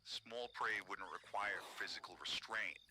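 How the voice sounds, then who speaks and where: A man's voice: on the low side, unhurried, close to an everyday voice. A man voicing a clinical, cautious scientist in an analog horror log, precise and formal, on a very noisy recording. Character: clinical, cautious male scientific colleague of a warden. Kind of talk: scripted analog horror voice acting.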